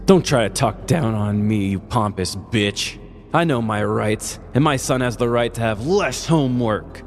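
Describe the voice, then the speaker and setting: A man's voice: fairly low pitch, lively intonation, measured pace, big-room sound, faint music behind. A man playing a belligerent, self-important single father who rants in grandiose, profane style as a crank caller in a comedy story. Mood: defiant.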